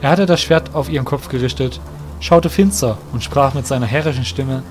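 A man's voice: mid-range voice, fairly quick. A man narrating a scripted fantasy audio drama as its storyteller. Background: music.

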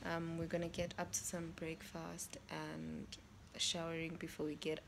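Slow-talking young South African woman vlogging. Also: low-pitched, monotone, gravelly, background noise. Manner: chatty, laughing often, says "child" for emphasis. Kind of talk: casual vlog chat. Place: hotel room, later a cafe. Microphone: phone held at arm's length. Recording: music playing behind.

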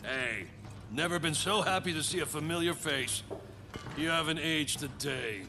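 Man playing a sardonic ageing male soldier, slightly higher pitch, world-weary, rough informal talk, game drama, noisy ambience. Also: normal speed, lightly acted. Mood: warm. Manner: wry, coarse, informal. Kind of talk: cinematic video game acting.

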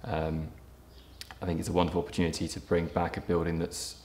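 A man in his thirties, a property developer giving a documentary interview, speaking in a measured way with frequent ums and restarts. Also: deep voice, flat delivery, a little mellow, slight background noise. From England. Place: empty brick factory room, indoors, large. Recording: echoey empty room, faint ambience.

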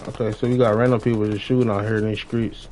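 A man's voice: lowish voice, flat delivery, fast talker, darkish tone, slight background noise. A young adult man chatting casually on a gaming livestream, slangy, often repeating his phrases. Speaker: live game streamer. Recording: dull lo-fi stream audio.

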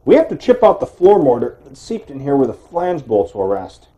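A man hosting a home improvement show, giving plain, steady step-by-step instructions as he demonstrates the work. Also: medium pitch, moderate pace, lo-fi sound.

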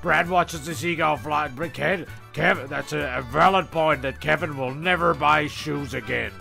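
A man's voice: fairly high pitch, unhurried, vocal fry, sharp tone, soft background music. A man narrating a comedy script loosely, rambling and stumbling over his reading.

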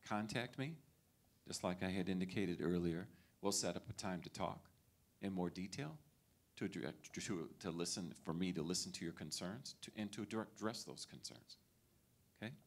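A man's voice: sits low, normal speed. A middle-aged man, a school superintendent, addressing parents at a meet-and-greet in a library auditorium on a handheld mic, reassuring and checking in with "okay?" and "right?". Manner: reassuring, with frequent tag questions. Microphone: handheld microphone.

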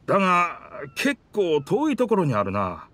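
A man voicing an easygoing talking boat in a Japanese anime game, with casual, rough masculine phrasing and a sheepish manner. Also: sits high, wildly swooping pitch, moderate pace, sharp tone, no echo, exaggerated voice. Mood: unsure, thinking. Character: talking sentient boat, easygoing, excuse-making male. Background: game music.

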